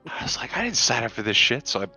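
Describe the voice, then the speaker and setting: A man's voice: medium pitch, very animated, fast talker, pushed and bright, husky, soft background music. A man who plays in a tabletop roleplaying game, casually retelling events and quoting dialogue as he goes.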